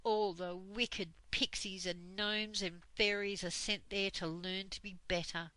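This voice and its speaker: A woman's voice: medium pitch, steady tone, unhurried, pushed and bright, no echo, poor audio. A woman voicing Moonface, a cautious male storybook creature who frets and groans, read aloud. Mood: worried. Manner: fretful. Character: anxious cautious male fairy-tale creature.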